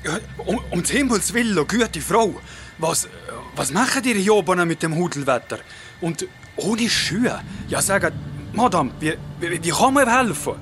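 Man as kindly alpine fellow, high swooping voice, stammering, earnest, audio drama, music behind. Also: sing-song, a little slow, a bit bright, full character voice. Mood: flustered concern. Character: kindly, flustered mountain man eager to help.

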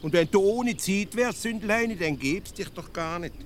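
Teasing swiss village thinker, earnest and nitpicky, highish voice, radio comedy with faint noise behind. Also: male voice, animated, measured pace. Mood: earnest, musing. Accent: Swiss German. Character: literal-minded, teasing provincial Swiss male everyday philosopher. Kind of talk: comic radio play dialogue.

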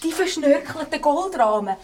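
A dreamy, excited young tourist in a radio play. Her high, animated voice gushes and teases in an echoey room with noise around her. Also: moderate pace. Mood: dreamy teasing. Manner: gushing, teasing. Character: dreamy, enthusiastic young female holidaymaker. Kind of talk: audio drama dialogue. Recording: roomy echo, clearly audible noise.

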